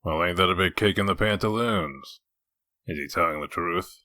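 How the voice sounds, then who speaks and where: A gruff comic-dub detective acted by a man, with a very low voice and a forceful edge. He is blunt, confrontational and sardonic, a wolf in human shape. The sound is dry and close. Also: moderate pace, dry recording.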